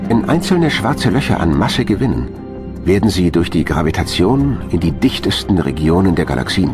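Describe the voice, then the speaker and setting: A man reading scripted narration as the narrator of a documentary. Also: deep voice.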